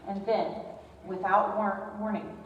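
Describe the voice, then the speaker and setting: A woman's voice: mid-range voice, fairly even intonation, takes it slowly, highs cut off. A woman reading scripted narration for a readers theater performance. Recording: distant, echoey hall with faint noise.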